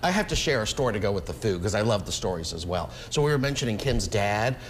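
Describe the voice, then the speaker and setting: A man's voice: lowish voice, animated, fast, a little hiss or hum. A middle-aged man reporting for a local TV feature from a bowling alley, upbeat and playful, laughing between questions. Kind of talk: on-location feature interview.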